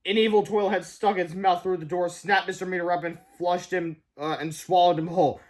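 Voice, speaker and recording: A man's voice: high voice, fairly even intonation, brisk. A man narrating a children's book as a read-aloud. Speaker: storybook reader.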